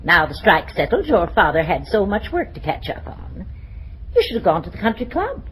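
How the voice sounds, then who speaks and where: An actress playing a dry, matter-of-fact adult aunt in an old radio comedy. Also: female voice, mid-range voice, very animated, moderate pace, fairly bright tone. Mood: wry. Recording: noisy old radio recording.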